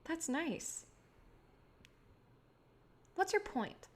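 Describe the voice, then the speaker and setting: A woman's voice: extremely high pitch, very animated, drawn-out words, heavily acted. A woman playing a guarded, idealistic filmmaker, wry and self-deprecating, in a naturalistic staged play reading. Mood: flirtatious, guarded.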